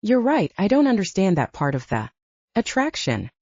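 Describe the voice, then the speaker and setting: A woman's voice: medium pitch, huge pitch swings, normal speed, a little mellow, no echo, highs cut off. A woman voicing a candid, playful young woman, the heroine of an audiobook romance. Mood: frank.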